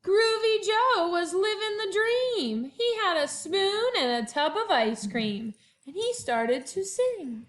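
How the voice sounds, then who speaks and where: A woman speaking as a first-grade teacher at home, chatting to young children and reading a picture book aloud with expressive, drawn-out storytelling and sung refrains. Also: very high-pitched.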